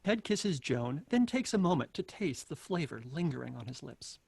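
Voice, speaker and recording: A man's voice: on the high side, sing-song, moderate pace, gravelly, a little mellow, a little hiss or hum. A man hosting a table read, reading out introductions and stage directions. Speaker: host and narrator of a table read.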